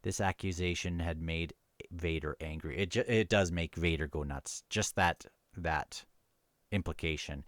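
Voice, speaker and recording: Casting: male book vlogger, low pitch, dry close sound, earnest and halting delivery. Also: fairly bright tone, no echo. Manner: earnest, halting, repeats words while thinking aloud. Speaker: book reviewer and reader. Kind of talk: casual book discussion mixed with read-aloud novel passages.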